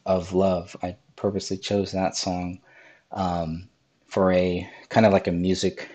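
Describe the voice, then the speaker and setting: A man hosting a live-streamed podcast, hoarse from a cold, talking through video clips with lots of ums and restarts. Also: low-pitched, a little mellow. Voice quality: raspy. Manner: rambling, many ums and restarts. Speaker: podcast host.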